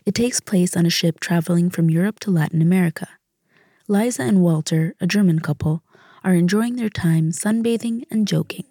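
A woman narrates a documentary podcast from a script. Her voice is lowish and soft-edged with a dark, mellow tone, recorded dry and close. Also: normal speed, no echo. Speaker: podcast host. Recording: close studio with faint music.

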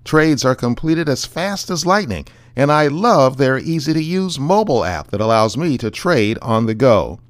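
Lively, upbeat male podcast host. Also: mid-range voice, moderate pace, few pauses, dry recording, clean studio sound. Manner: upbeat, emphatic sales delivery. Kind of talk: read advertisement and sign-off.

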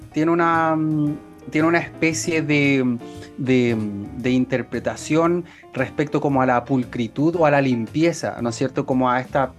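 Laid-back Chilean man, faint guitar behind. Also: fairly high pitch. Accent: Chilean Spanish. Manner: relaxed, hesitant, slangy. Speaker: podcast co-host. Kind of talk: casual chat.

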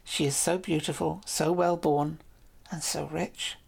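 A woman's voice: sits low, fairly even intonation, measured pace, vocal fry, darkish tone, slightly rough, full character voice. Woman playing a shy lovestruck knight. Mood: earnest, pleading. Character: young male knight, brave but lovestruck, shy.

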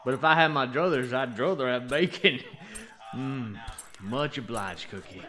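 An easygoing cowboy hero acted by a man talks in a mid-pitched, folksy drawl. He is polite and good-humored, in the style of a radio Western. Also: measured pace, pushed and bright. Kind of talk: old-time radio Western acting.

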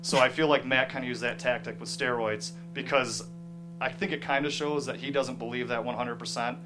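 A man being interviewed as a screenwriter, talking casually and thoughtfully, often pausing to search for words. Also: medium pitch, rough recording.

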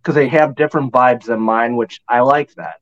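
A man's voice: medium pitch, fairly even intonation, moderate pace, highs cut off. A man with a slightly nasal voice, chatting casually as a guest. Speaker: guest, fan group admin. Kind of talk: casual chat.

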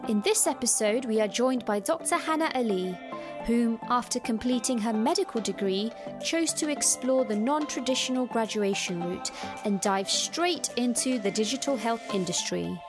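A woman's voice: fairly high pitch, expressive, normal speed, music in the background. A woman hosting a podcast interview, welcoming her guest in an eager, upbeat way. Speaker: podcast host.

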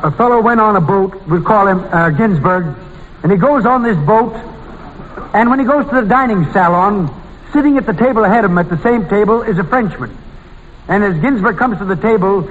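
A man's voice: expressive, moderate pace, long reverb tail, a little hiss or hum. An actor playing a talkative man telling gags as a panelist on a 1940s radio comedy. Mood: storytelling. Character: talkative male raconteur pushing his gags. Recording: boomy, muffled old radio recording.

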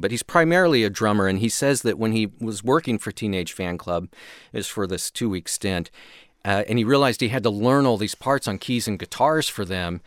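Guy with a lowish voice on a music show, casually explaining stuff, super dry studio sound. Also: fairly bright tone, no echo. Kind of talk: casual radio music discussion.

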